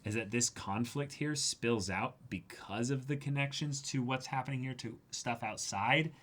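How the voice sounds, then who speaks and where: Bright-voiced man co-hosting a fan livestream. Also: mid-range voice, moderate pace. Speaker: livestream co-host. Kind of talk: casual fan discussion on a livestream.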